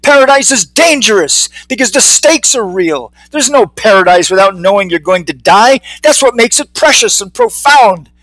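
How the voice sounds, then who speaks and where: Man voicing a high-pitched, fervent zealot fly. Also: lively intonation, moderate pace, hardly any pauses, fairly bright tone, no room sound, rough recording. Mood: fervent preaching. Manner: proclaiming, preachy, grandiose. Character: fervent zealot male fly, newly converted cult preacher.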